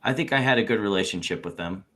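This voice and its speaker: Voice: low-pitched, fast, a bit bright. A reader acts out a male businessman testifying in a deposition. He is evasive and noncommittal, hedging his answers as the transcript is read aloud. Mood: guarded.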